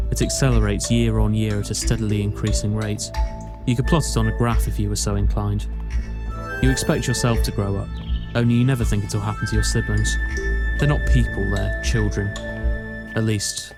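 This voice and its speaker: An actor playing a reflective, detached young student, narrating in a measured, deadpan way. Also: male voice, lowish voice, natural voice. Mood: wry, reflective. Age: young adult. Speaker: narrator and lead character of a short film. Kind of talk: scripted narration. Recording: music behind the voice. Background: instrumental music.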